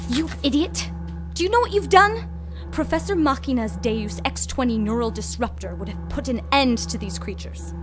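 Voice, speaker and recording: A woman playing a haughty, sharp-tongued scientist in an audio drama, emphatic and full of sarcasm. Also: high voice, lively intonation, moderate pace, over music. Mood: indignant, pleading. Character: haughty, furious female scientist, sharp-tongued and self-righteous.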